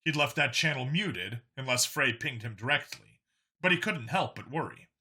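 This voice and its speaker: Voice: medium pitch, animated, normal speed, sharp tone, breathy edge. A narrator reading a scripted science fiction story as an audiobook.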